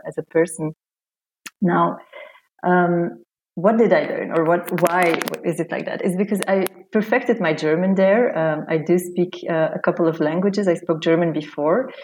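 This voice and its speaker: A woman's voice: fairly low pitch, darkish tone, low-quality recording. A woman raised in Belgium, a guest on a podcast, talking in a friendly, chatty way with many fillers. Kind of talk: casual interview chat.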